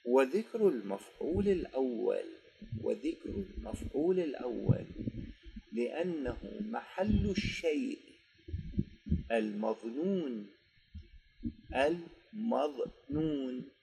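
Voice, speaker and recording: A man with an Iraqi Arabic accent teaching a grammar lesson, dictating slowly and quizzing his students before answering his own questions. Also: medium pitch, gravelly, a little mellow, husky, low-quality recording.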